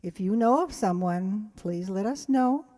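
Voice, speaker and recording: A woman's voice: mid-range voice, expressive, measured pace, dark tone. A woman giving informal, rambling closing announcements and thanks to an audience as a community players' spokesperson. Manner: chatty, unscripted, rambling. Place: live before an audience.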